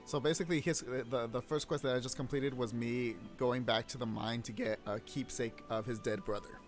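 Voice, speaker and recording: A man's voice: mid-range voice, fairly even intonation, brisk, soft background music. A man live-streaming a game, chatting casually, laughing often and swearing freely as he narrates his play.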